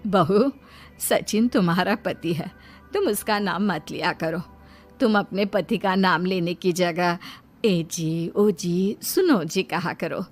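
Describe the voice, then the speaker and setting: A woman voicing a submissive older village mother-in-law in a cartoon story, admonishing gently and soothing. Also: fairly low pitch, takes it slowly, soft background music. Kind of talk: Hindi cartoon story dialogue.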